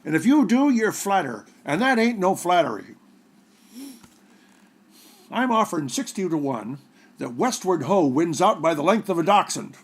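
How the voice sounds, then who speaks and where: A man's voice: sits high, very animated, normal speed, long pauses, exaggerated voice. A man playing a henpecked, tipsy old dog owner in a storybook, with folksy, slangy talk. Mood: resolved.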